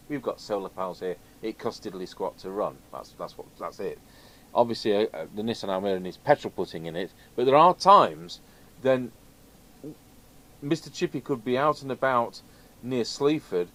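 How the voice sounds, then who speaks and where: A man chatting casually and ramblingly as he presents radio gear in an unscripted video. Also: on the low side. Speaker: video host and radio technician. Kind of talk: casual unscripted product chat. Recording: clear background noise.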